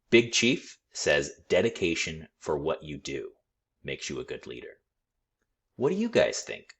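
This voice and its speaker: A man reading a picture book aloud to children in an expressive, teacherly way. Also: sits low, husky. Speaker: school read-aloud storyteller.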